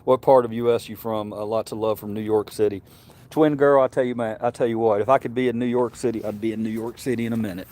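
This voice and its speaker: A man's voice: lowish voice, steady tone, fast talker, a little mellow, slight background noise. A middle-aged man from Mississippi with a Southern accent, chatting casually on a livestream, rambling and repeating words. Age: Gen X, middle-aged. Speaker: livestream host.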